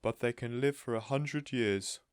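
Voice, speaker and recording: Man acting a shy, earnest storybook gentleman. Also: mid-range voice, unhurried, smooth, dry recording, studio quality, voice pushed up, close to an everyday voice. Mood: solemn. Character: shy, lovestruck man, politely earnest and solemn. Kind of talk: storybook character voice in audiobook reading.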